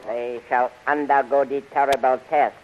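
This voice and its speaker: An actor playing a cruel, commanding tyrant emperor in a 1930s radio serial, with pompous, formal delivery. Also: male voice, medium pitch, little pitch movement, moderate pace, lightly acted. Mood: sinister relish. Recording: muffled, hissy 1930s radio recording.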